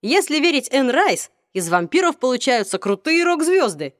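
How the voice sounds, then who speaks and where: A woman's voice: sits high, big pitch swings, normal speed, no echo, clean studio sound, voice pushed up. A woman doing a wry teenage vampire boy. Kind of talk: audiobook reading.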